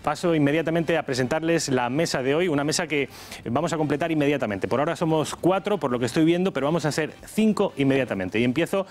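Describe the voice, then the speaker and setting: A man from hosting a TV panel debate show. He gives a measured editorial opening, then jokes around with his guests as he introduces them. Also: on the high side. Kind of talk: television panel talk show. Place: TV studio.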